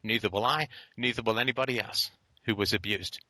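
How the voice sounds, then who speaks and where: A blunt man hosting a call-in show, hammering his points home with repetition. His voice is forceful and bright, recorded dry and close. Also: fairly low pitch, a little fast, no room sound. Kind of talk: blunt philosophical call-in talk.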